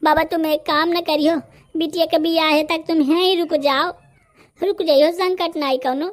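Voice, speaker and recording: A man voicing an excitable, gossipy male villager in a rustic comedy cartoon, with a Bundelkhandi accent and artificially raised pitch. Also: moderate pace. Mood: admiring.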